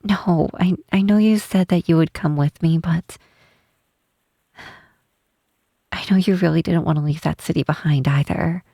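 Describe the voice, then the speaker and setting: A woman playing a shy, guilt-ridden half-troll cleric in an intimate ASMR roleplay, speaking softly and hesitantly with apologetic pauses and sighs. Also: deep voice, no room sound, clean studio sound. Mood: wistful, unsure. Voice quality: soft, husky, breathy.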